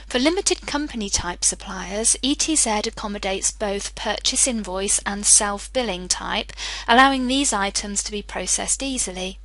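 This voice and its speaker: A woman presenting a software demo as a scripted screencast, explaining clearly step by step. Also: medium pitch, normal speed, dry recording, poor audio. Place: voice-over for screen recording.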